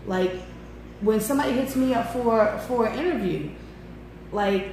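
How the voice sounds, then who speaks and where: A woman's voice: a little high, normal speed, darkish tone. A woman in her forties chatting casually, candid and emphatic, repeating points. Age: 40s. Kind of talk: casual chat. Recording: very echoey room with faint noise.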